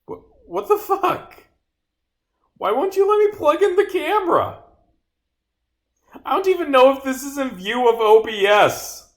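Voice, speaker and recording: A man's voice: extremely high pitch, big pitch swings, measured pace, long pauses. A man who develops games, talking casually on a live stream, often swearing and muttering to himself.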